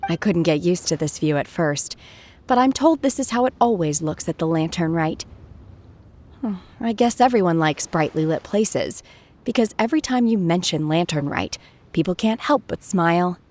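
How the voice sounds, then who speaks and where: An actress playing a gentle, reserved young woman unused to company in an anime game, soft and hesitant. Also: on the low side, animated, normal speed. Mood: wistful.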